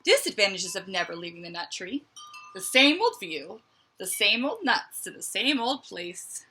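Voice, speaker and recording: Animated, bright-voiced woman reading to children. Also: medium pitch, moderate pace, slight background noise. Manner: expressive, dramatic emphasis, conversational asides to young listeners. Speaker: teacher reading a picture book aloud. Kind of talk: animated children's read-aloud with asides.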